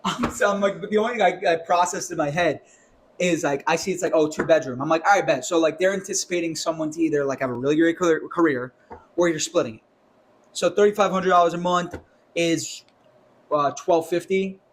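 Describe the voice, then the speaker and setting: A young man with a fairly high voice on a casual podcast, ranting about money. He is animated and curses freely. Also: a little fast. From New York. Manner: animated, ranting, swears often. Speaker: podcast co-host.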